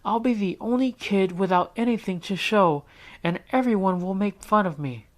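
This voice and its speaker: A man's voice: sits high, normal speed, voice pushed up, natural voice. A man voicing a fretful young schoolgirl. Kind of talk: storybook read-aloud.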